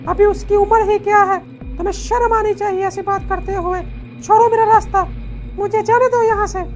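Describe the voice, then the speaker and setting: A woman voicing a protective, chiding village mother in an animated story. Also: very high voice, moderate pace. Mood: indignant. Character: anxious, scolding village mother of a young girl. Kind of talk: Hindi animated horror story dialogue. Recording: music behind the voice.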